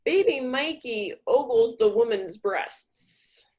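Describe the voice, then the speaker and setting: A woman's voice: fairly high pitch, lively intonation, slow, a little mellow, poor audio. A woman reading comedic scripted narration for a radio play.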